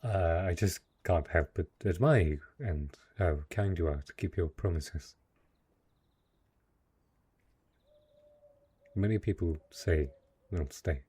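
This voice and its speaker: Man playing a deep, husky, brooding dark knight. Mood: earnest, vulnerable. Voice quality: husky, low voice. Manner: hesitant, earnest, formal phrasing. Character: lonely, possessive dark knight, lord of a forest castle. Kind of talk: dark fantasy romance audio roleplay.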